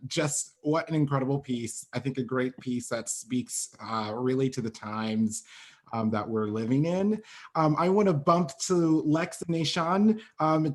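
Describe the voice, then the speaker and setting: A man hosting an interview over a video call, who talks in an effusive, loose way with many fillers. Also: medium pitch. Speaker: host.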